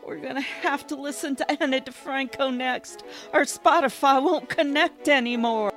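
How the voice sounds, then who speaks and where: A woman's voice: high-pitched, steady tone, normal speed, fairly bright tone, soft background music. A woman playing an everyday woman in a satirical radio drama. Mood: fretting.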